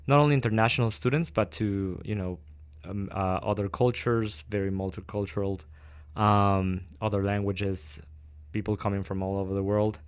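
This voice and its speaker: A young man from Ecuador answers interview questions. He thinks aloud, pausing and restarting often. His low, smooth voice is recorded close and dry. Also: no echo. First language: Spanish. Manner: hesitant, thoughtful, many ums and restarts. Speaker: guest, doctoral student.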